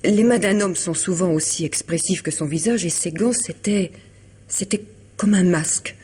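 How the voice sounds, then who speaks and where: A woman's voice: on the low side, moderate pace, mellow, breathy edge. An actress playing an elegant, troubled woman confiding in a lawyer friend in a vintage French radio drama, hesitant yet articulate. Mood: uneasy, hesitant. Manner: hesitant, restarting, articulate and formal.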